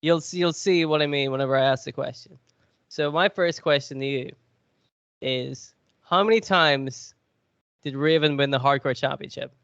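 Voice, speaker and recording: A man's voice: mid-range voice, normal speed, creaky, fairly bright tone, smooth, dry recording, lo-fi sound. A man with a cold, sniffly, chatting and joking as he hosts a podcast quiz. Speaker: podcast co-host. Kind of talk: casual podcast chat.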